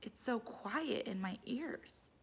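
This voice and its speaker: A woman's voice: fairly high pitch, animated, slow, breathy edge, exaggerated voice. A woman voicing an earnest, wide-eyed young schoolboy in a storybook, simple and candid. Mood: wonder.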